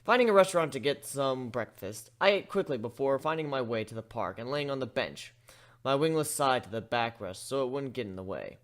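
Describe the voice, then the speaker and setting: A man's voice: mid-range voice, expressive, brisk. A man reading first-person story narration as an amateur dramatic reader.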